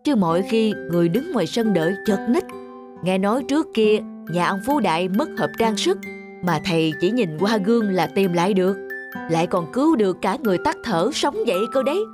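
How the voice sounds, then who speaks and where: A gossipy, superstitious middle-aged village woman from an animated folk tale, played by an actress. She urges and persuades insistently, with music playing faintly underneath. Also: mid-range voice, fairly quick, lightly acted. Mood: eager.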